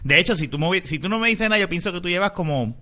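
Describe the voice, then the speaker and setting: A man's voice: sits high, expressive, rapid-fire, pushed and bright. A man with a Puerto Rican accent chatting casually, blunt and profane. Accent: Puerto Rican Spanish. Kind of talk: casual group chat.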